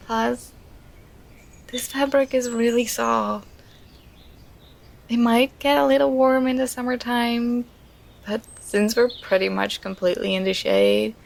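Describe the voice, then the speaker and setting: A woman playing an affectionate, contented girlfriend in an ASMR roleplay, speaking in a tender, soothing, intimate and unhurried manner. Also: mid-range voice, vocal fry.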